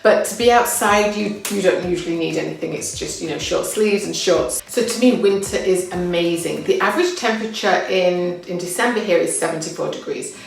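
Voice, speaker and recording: A woman's voice: lowish voice, a little fast, creaky, few pauses, far from the mic. Chatty English woman in an echoey room. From England. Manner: chatty and upbeat, with fillers and restarts. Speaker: local living guide. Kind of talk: casual talk to camera.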